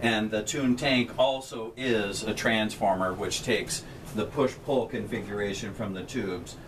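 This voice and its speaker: A man's voice: fairly low pitch, fairly even intonation, measured pace. A middle-aged man, a transmitter technician, explaining equipment in plain technical terms to a tour group inside a metal shelter. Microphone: camera held by a visitor a few feet away. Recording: distant camera mic with background hum.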